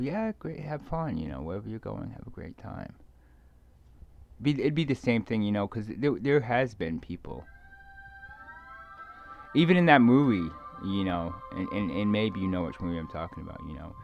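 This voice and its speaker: A man giving a rambling, casual monologue to viewers, halting and repeating his words as he trails off. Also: lowish voice, gravelly, darkish tone, lo-fi sound. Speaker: video creator speaking to viewers.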